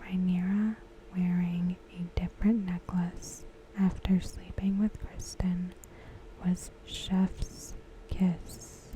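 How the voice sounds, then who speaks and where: A woman whispering softly and breathily in an ASMR style, giving commentary with gentle, deliberate phrasing. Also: fairly low pitch, level tone, slight background noise, rough recording. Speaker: ASMR creator.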